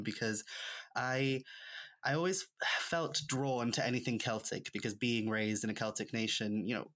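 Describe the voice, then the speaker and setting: A Welsh man with a mid-pitched voice talks as a podcast guest. He is a native Welsh speaker from the countryside, and he recounts things in a chatty, animated, conversational way. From rural Wales. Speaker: podcast guest, author and witchcraft practitioner. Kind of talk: conversational interview storytelling.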